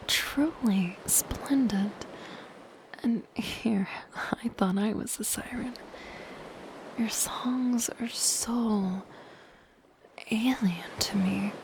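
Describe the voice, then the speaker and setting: A woman voicing a lonely, curious, softly menacing siren in a breathy, whispered, gently lilting ASMR roleplay. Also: medium pitch, slight background noise. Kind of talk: soft-spoken ASMR roleplay.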